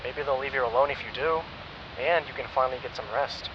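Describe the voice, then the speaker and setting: An actor playing a calm, protective male ally who reasons patiently and worries about his friend. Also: mid-range voice, quick pace, lightly acted. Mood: concerned. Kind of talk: audio drama acting. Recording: dull, noisy, lo-fi recording.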